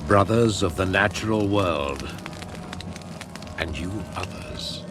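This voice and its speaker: A man playing a grave, commanding pagan elder in a radio drama, solemn and measured. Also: low-pitched, noisy background. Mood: solemn invocation. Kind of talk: 1980s radio drama acting. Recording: archive radio play with loud music.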